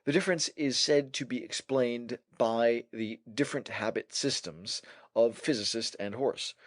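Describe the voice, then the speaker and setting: A man narrating a scholarly essay as an audiobook, in a formal and measured manner. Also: medium pitch, dry recording, studio quality.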